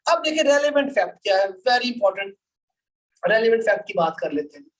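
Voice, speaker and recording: A woman's voice: on the high side, very animated, a little fast, distant mic. A woman teaching law to students in a didactic way, repeating her points and mixing in English with her Hindi-Urdu. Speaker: law lecturer. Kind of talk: live lecture to students, explaining and reading out articles.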